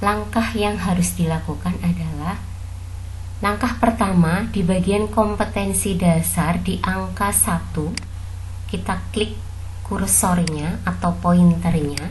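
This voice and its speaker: A woman with a Javanese Indonesian accent narrating a software tutorial step by step, instructing politely and repeating each step. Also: fairly low pitch, takes it slowly, a little hiss or hum, poor audio.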